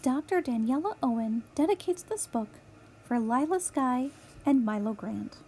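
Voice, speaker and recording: A woman reading a picture book aloud as a storytime host, with deliberate, clear phrasing for young children. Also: sits high, expressive, a little mellow, a little hiss or hum. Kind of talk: children's read-aloud narration.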